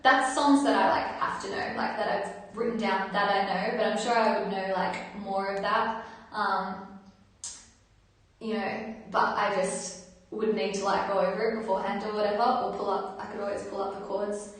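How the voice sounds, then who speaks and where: A woman's voice: medium pitch, fairly even intonation, moderate pace. An Australian woman chatting casually and rambling informally to viewers as the host of a live stream. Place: indoors at home. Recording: very echoey room, distant microphone.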